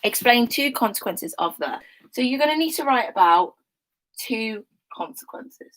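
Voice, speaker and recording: A young woman teaching a history class online, chatty and informal with many ums, her voice slightly croaky. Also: on the high side, animated, moderate pace, fairly bright tone, poor audio.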